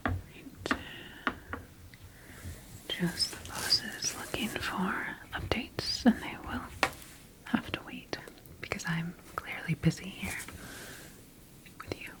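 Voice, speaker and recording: A woman performs an ASMR roleplay in a breathy, unvoiced whisper. She plays a calm, clinical scientist, speaking very slowly and deliberately, with soothing reassurance. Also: lowish voice, poor audio. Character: calm, clinical secret military scientist examining her creation.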